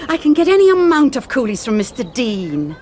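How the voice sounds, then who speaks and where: A woman's voice: sits high, very animated, brisk, a little mellow, a faint crowd, a little hiss or hum. An actress playing a stubborn nun who insists on her garden schemes. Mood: insistent. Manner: insistent, pleading.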